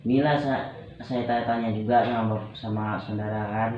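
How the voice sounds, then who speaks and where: A man's voice: medium pitch, steady tone, normal speed. A young man with a Medan accent from Indonesia, speaking hesitantly as he talks over personal problems in a counselling conversation. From Medan, North Sumatra, Indonesia. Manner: hesitant, repeats phrases. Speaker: client in a practice counselling session. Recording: very echoey, muffled, faint background noise.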